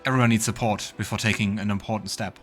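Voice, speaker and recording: A man's voice: fairly low pitch, steady tone, fast talker, fairly bright tone, faint music behind, close to an everyday voice. A man voicing a resolute, serious male companion fighter with earnest delivery in a game. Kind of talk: voiced game dialogue.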